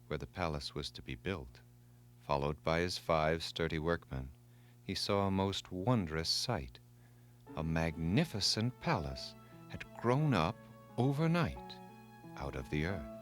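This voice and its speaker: A deep-voiced man tells a children's myth from a script. His delivery is formal and measured with dramatic emphasis. Soft music plays behind him. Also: very animated. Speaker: storyteller.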